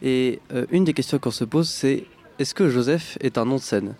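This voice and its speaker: A man's voice: medium pitch, normal speed, darkish tone. A man interviewing a guest on the radio, asking informal questions with some hesitation.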